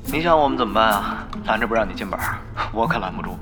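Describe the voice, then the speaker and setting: Man acting a fast-talking, curt young rival gamer. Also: on the low side, expressive, loud music behind the voice, lightly acted. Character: curt, exasperated young male guild rival. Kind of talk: Chinese audio drama acting.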